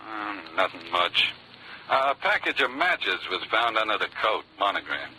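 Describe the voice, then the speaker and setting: A man's voice: lowish voice, sing-song, normal speed, pushed and bright, heavily acted, rough recording. An actor playing a laconic, needling male police lieutenant in 1940s radio drama style. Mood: dry, needling. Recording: old radio recording, faint noise.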